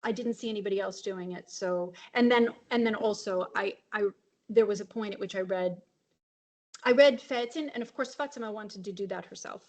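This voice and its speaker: A woman's voice: mid-range voice, normal speed. A woman translator answering on a webinar panel over a video call, reflective and thinking aloud. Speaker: translator and publisher.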